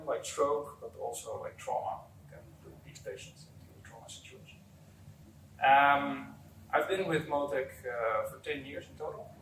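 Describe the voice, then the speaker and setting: A man's voice: mid-range voice, a bit bright. A man in his forties with a Dutch accent, giving unscripted answers as an executive being interviewed. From Netherlands. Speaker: company executive, interview guest. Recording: roomy, distant mic, faint background noise.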